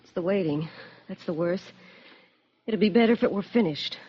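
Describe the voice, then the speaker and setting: An actress playing an anxious, defensive young wife in a radio drama, sounding strained and brittle. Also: female voice, lowish voice, moderate pace, breathy edge. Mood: afraid, subdued. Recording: dull band-limited radio drama recording.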